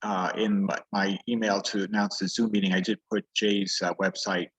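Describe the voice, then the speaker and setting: A man in an online club meeting, chatting casually and haltingly. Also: fairly low pitch, little pitch movement, fairly bright tone, slightly rough. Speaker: club member announcing meetings. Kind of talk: casual chat.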